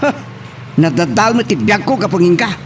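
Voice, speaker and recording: A man's voice: high-pitched, expressive, fairly quick, very noisy. A man with an Ilocano accent playing an exasperated, scolding villager in an amateur audio drama. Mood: angry, scolding.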